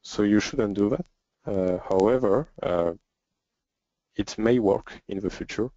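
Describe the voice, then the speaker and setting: A man with a French accent answering questions as a developer in an informal webinar, speaking hesitantly with frequent pauses. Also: lowish voice, mellow, no room sound. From France. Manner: hesitant, halting, with frequent pauses and throat clearing. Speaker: software developer and webinar presenter. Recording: dull lo-fi webinar audio.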